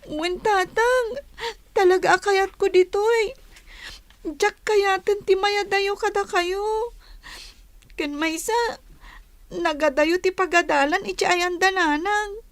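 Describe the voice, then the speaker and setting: Little schoolgirl, super high voice, slow talker, feisty radio drama kid. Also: no room sound. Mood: tearful pleading. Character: young schoolgirl, pleading and feisty.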